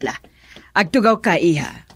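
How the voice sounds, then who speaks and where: A woman's voice: fairly low pitch, animated, moderate pace, a little hiss or hum, natural voice. A woman with an Ilocano Filipino accent playing an insistent, meddling middle-aged mother in a theatrical, coaxing radio drama style. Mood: welcoming.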